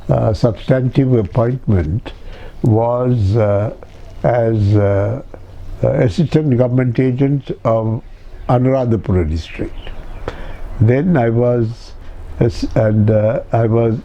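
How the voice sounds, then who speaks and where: An older man from Sri Lanka, raised speaking Tamil at home, looks back on his early career. His voice is very dark and mellow, and the recording sounds slightly dull. He pauses often and fills gaps with "you see.". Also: mid-range voice. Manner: reminiscing with many hesitations and "you see". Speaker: interviewee. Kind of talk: life story interview.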